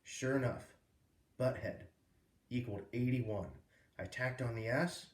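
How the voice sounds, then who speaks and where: A man reading a children's book aloud as a storyteller, with expressive, emphatic phrasing. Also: mid-range voice, measured pace. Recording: roomy echo, distant microphone.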